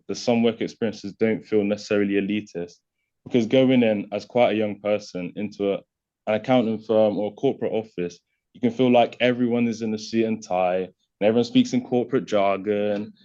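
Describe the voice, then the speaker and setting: Mellow-voiced male panelist. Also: lowish voice, steady tone, brisk. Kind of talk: prepared panel remarks. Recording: slightly dull conference audio.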